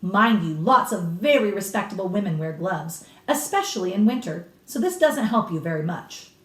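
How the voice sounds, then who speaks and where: Woman reading as an animated, bossy old grandmother. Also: medium pitch, moderate pace, close to an everyday voice. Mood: instructive, emphatic. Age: elderly. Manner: emphatic, instructive, snappish. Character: cigar-smoking old grandmother, authoritative, blunt, relishing grisly witch lore. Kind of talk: storybook read-aloud character. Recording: roomy echo, distant microphone.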